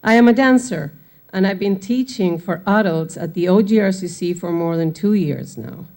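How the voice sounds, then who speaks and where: A woman's voice: on the low side, normal speed, a little mellow. Argentine woman giving public comment. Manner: earnest, apologetic about her English. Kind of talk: prepared public comment speech.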